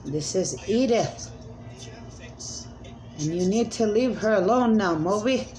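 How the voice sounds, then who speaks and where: A woman's voice: mid-range voice, lively intonation, takes it slowly, dark tone. A woman speaking as a self-described spirit medium in a rambling, emphatic monologue, pleading and repeating commands.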